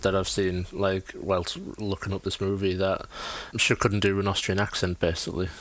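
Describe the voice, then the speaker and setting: A man's voice: low-pitched, little pitch movement, moderate pace, fairly bright tone. A British man co-hosting a film podcast, laid-back and chatty, laughing often and filling with "like" and "yeah". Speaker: podcast co-host. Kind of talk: casual film chat.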